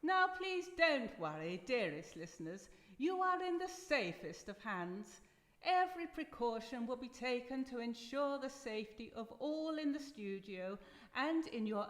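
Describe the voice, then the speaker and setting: An effusive vintage wireless hostess acted by a woman speaks in a high, swooping voice. Her delivery is grand, arch and genteel, every phrase formally presented. It rings through a reverberant hall. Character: effusive 1930s-style wireless announcer hostess, theatrical and genteel. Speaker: radio show host. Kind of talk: old-time radio presenting before live drama. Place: live performance in a university hall. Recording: echoey hall with long reverb.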